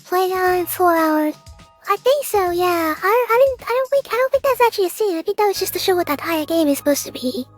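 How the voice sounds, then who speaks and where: High-pitched teenage gamer. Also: expressive, fairly quick, faint music behind. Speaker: gameplay video host. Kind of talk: casual gameplay commentary.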